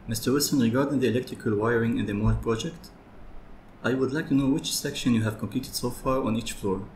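A young man in an office ASMR roleplay, talking in a measured, business-like way. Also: mid-range voice. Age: young adult. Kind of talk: office ASMR roleplay, murmuring and phone talk. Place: small high-rise office, indoors. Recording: roomy office, loud keyboard clicks and noise. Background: typing and clicks over heavy noise.

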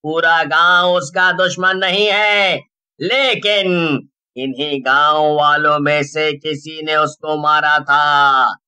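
A man's voice: on the high side, fairly even intonation, slow pace, bright, forceful tone, clean tone, heavily acted. A man voicing a knowing old tantric holy man in a horror cartoon, making solemn, authoritative pronouncements. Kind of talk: Hindi horror cartoon acting.

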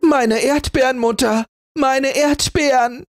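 A man voicing a vain, spiteful young woman in a fairy tale who scolds in a shrill voice. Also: animated, a little slow, dry recording, studio quality. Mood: furious.

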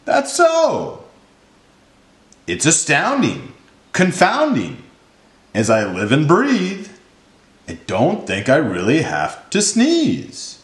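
Give this storybook elephant a man's performance with swooping pitch and an unhurried pace. He is big, gentle and apologetic, easily tipped into a flap, in a roomy, echoing recording. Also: huge pitch swings, exaggerated voice. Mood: amazed, giddy. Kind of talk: rhyming children's storybook character.

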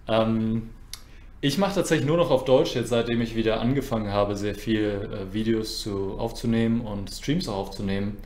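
A man's voice: fairly low pitch, moderate pace, a little mellow. Man streaming in an echoey room. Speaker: chess streamer and host. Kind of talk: casual livestream chat while playing chess. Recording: roomy, echoing sound.

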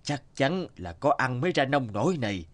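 A man voicing an elderly village healer in a cartoon, a stern, wise teacher who speaks in a measured, admonishing, instructive way. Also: medium pitch, big pitch swings, natural voice. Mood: stern, concerned. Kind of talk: Vietnamese cartoon voice acting.

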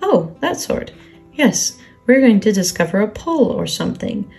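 A woman's voice: medium pitch, moderate pace, vocal fry, soft background music. A woman voicing a simple, eager little toy bear. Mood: excited. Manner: naive, happily excitable, mispronounces long words. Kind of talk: children's storybook character reading.

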